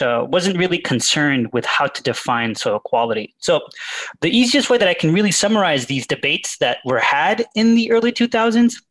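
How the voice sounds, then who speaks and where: Man, researcher guest, higher-pitched, measured and explanatory. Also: fairly bright tone. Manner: measured, explanatory, with fillers and restarts. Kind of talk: academic interview answers.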